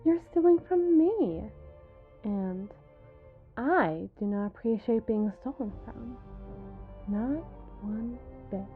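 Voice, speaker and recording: A woman's voice: on the high side, expressive, slow pace, long pauses, narrow, tinny audio, soft background music. A woman playing a menacing, mockingly sweet female mob boss in a dramatic ASMR roleplay, taunting and drawing out her words for emphasis. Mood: cold, threatening.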